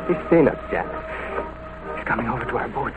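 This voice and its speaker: An actor playing a nervous, halting teenage boy on the run in an old-time radio drama. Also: mid-range voice, lively intonation, loud music behind the voice, natural voice. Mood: frightened. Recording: tinny old radio broadcast.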